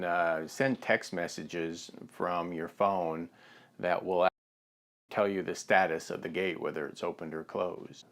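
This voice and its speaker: An American man in his fifties giving a plain, halting instructional product demonstration on a lapel mic in a studio. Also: sits low, a bit bright. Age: 50s.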